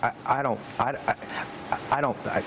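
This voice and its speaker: Halting male interview guest, faint noise. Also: mid-range voice, gravelly. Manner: halting, stammering delivery. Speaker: interview guest, former political aide. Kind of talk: recounting personal story in interview.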